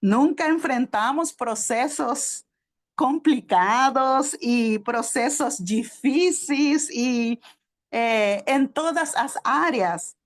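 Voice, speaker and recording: A woman with a Spanish accent, a native Spanish speaker, teaching a sermon to a small group, halting often with fillers and checking that her listeners follow. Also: a little high. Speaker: preacher and theology teacher.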